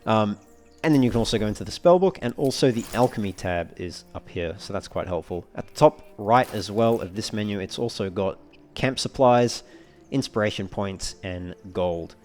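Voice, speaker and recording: A man giving casual let's play commentary as a walkthrough host, explaining things in a measured, wordy, slightly formal way. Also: fairly low pitch, a little mellow.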